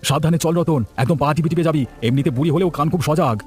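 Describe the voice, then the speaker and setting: A man plays a scheming village accomplice in a cartoon tale. His voice is high and very rapid, conspiratorial and urging, with faint music behind. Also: darkish tone, close to an everyday voice. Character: scheming, cautious village accomplice, easily riled. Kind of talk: cartoon folk-tale voice acting.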